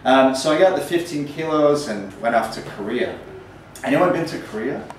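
A man's voice: medium pitch, lively intonation, moderate pace. A man in his thirties from Northern Ireland with an American accent, telling travel stories in a rambling, um-filled lecture with self-deprecating humor. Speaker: poet giving a talk. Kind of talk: casual storytelling lecture. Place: small gallery room, indoors. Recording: echoey room from far off, faint noise.

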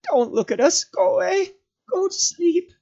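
A man voicing a wretched, cunning cave creature in a storybook reading, whining and hissing, with raspy whispers that break into a shrill voice. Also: huge pitch swings, takes it slowly, dull audio, full character voice. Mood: sobbing, tormented. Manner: whimpering, shrieking, speaks of himself as "we".

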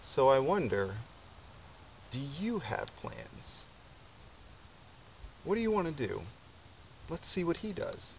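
A man in his twenties reading a picture book aloud to children in an expressive, deliberate way. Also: on the high side, gravelly, a little hiss or hum. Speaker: storybook reader. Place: indoors, against a plain dark wall.

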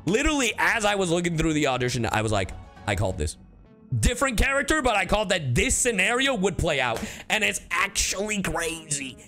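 A man's voice: sits high, sing-song, a little fast, bright, forceful tone, faint music behind. A man streaming casually to his chat, slangy and emphatic, repeating himself to drive points home. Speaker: streamer and voice actor. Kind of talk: casual livestream reaction chat.

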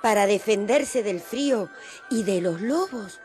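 A woman acting a mother looking back on her son's birth for a radio drama. Her telling moves animatedly up and down in pitch over music. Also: animated, a little slow, a little mellow, lightly acted. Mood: tender reminiscing. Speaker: narrator. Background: violin music.

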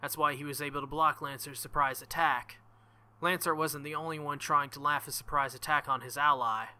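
A man's voice: a little high, fairly even intonation, a little fast, sharp tone, clear, resonant tone. A man reading a visual novel aloud as a let's-play host, breaking into jokey, exclamatory asides.

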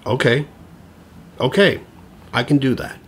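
A middle-aged man playing a sarcastic, frazzled divorced father in a comedic audio drama, husky and exasperated. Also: medium pitch, lively intonation, a little slow, a bit bright, full character voice. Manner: sardonic, exasperated.

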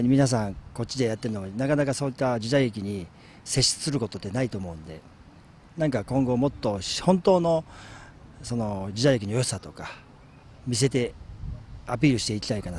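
Mid-pitched man interviewed outdoors. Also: animated, a little fast, a little mellow. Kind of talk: unscripted interview answers. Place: outdoors in a park. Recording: faint outdoor background noise.

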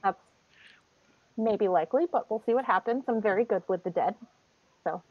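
A woman's voice: medium pitch, moderate pace, no room sound. A woman playing a young cleric in a tabletop game, with a dry, exasperated manner. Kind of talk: in-character roleplay. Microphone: video call. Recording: lo-fi video call audio.

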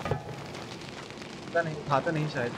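A man's voice: fairly high pitch, fairly even intonation, takes it slowly, loud music behind the voice, rough recording. A man giving informal, foul-mouthed live game commentary and talking to his viewers. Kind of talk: live gameplay commentary with chat shout-outs. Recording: clear background noise and game ambience. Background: video game ambience.

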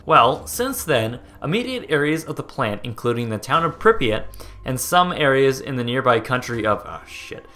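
Young American guy, upbeat host, animated bright read, casual and joking. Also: medium pitch, moderate pace. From United States. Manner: jokey, excitable, swears and trips over place names. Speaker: host narrating a documentary video. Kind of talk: casual narration over footage.

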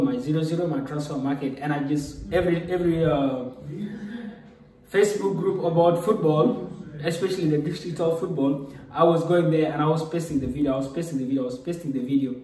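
A man's voice: on the high side, a little mellow, recorded from a distance. A young man from South Sudan telling a personal story to camera in a loose, rambling way, with frequent fillers. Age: young adult. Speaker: vlogger. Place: small room indoors. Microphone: camera at desk distance. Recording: echoey room, mic set back.

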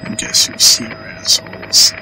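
A man's voice: unhurried, lightly acted. A man playing a cold, menacing police helicopter pilot in an audio drama, using clipped military radio talk. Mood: coldly taunting. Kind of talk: gritty audio drama acting. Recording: loud helicopter noise throughout.

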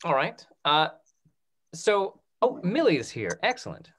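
A man in his thirties moderating a talk-back on a video call in a casual, halting way, often repeating his words. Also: on the high side, big pitch swings, fairly bright tone, a little hiss or hum. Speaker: host of a theatre talk-back.

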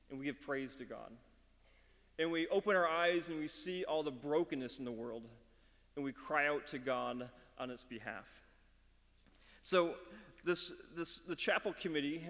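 A middle-aged man leading a school chapel talk and prayer from a lectern, speaking in a measured, earnest way with some halting fillers. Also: a little high, a bit bright. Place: dark auditorium stage at a lectern. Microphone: mic on the lectern. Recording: long hall reverb.

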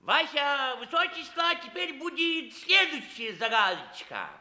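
A very high, piercingly bright clown of a court minister, teasing and playful in old stage-comedy style. He draws out his phrases and repeats himself, with a swooping delivery. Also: sing-song, heavily acted. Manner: clowning, drawn-out, stumbling repetitions. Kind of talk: comic theatrical radio play acting.

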